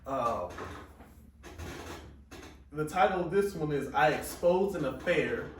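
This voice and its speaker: A man's voice: a little high, animated, slow pace, recorded from a distance, slight background noise. A man casually telling a profane work story as a podcast co-host. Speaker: podcast co-host reading posts. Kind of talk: casual chat. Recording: echoey room, off mic.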